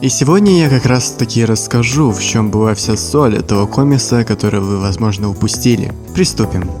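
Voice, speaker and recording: A man's voice: on the low side, brisk. A man narrating a tongue-in-cheek video essay as host, with a blunt, slangy, mock-serious delivery. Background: background music.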